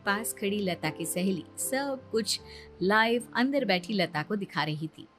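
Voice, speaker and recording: A woman's voice: medium pitch, animated, measured pace. Woman storyteller narrating a scripted tale, faint music under her.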